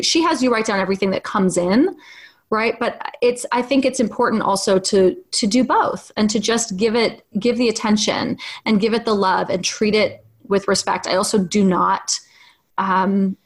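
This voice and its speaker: Candid woman guest, mid-pitch, thinks aloud, casual cursing, reflective interview talk. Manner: frank and reflective, swears casually, many restarts. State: single mom. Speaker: acting coach and podcast guest.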